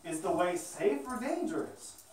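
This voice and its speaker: A woman's voice: on the low side, very animated, a little slow, a little mellow, a little hiss or hum. Woman playing a polite mouse, very echoey. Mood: curious. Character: polite, curious mouse traveler. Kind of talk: children's puppet show acting. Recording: very echoey room, distant microphone.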